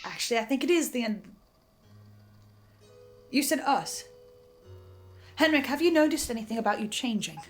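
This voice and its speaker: A woman playing an anxious but defiant reluctant archivist, speaking haltingly and stammering in a horror drama. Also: on the high side, expressive, faint music behind. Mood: uneasy. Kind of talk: emotional horror audio drama acting.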